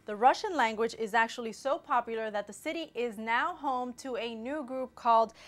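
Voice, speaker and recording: Brighter-pitched woman hosting an interview. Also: normal speed. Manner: friendly, curious questioning. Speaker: host of city news program. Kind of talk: local TV interview.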